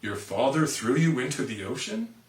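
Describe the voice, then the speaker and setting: A man's voice: mid-range voice, lively intonation, moderate pace, lightly acted. Man doing an indignant storybook mother, echoey room. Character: indignant, scolding, sarcastic mother. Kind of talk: animated children's storybook reading. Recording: roomy, distant microphone.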